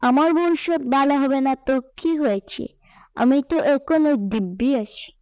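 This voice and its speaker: Woman voicing a high-pitched, stubborn little boy. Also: a little slow, a little mellow, dry recording, lo-fi sound. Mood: defiant. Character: mischievous, stubborn little boy who loves play. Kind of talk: children's cartoon dialogue.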